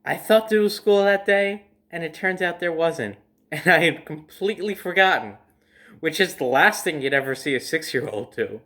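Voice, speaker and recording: A young adult man telling a casual personal story as host, in a dramatic, emphatic manner with drawn-out phrases. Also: fairly high pitch, animated, a bit bright.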